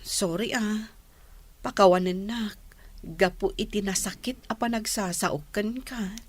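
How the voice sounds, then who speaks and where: A woman with an Ilocano accent playing a sheepish, hesitant wife in a radio drama, speaking haltingly. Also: medium pitch, breathy edge.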